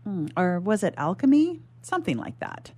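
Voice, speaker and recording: A woman giving an expressive first-person reading of a short story as a guest writer. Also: mid-range voice, moderate pace, slightly rough, no echo.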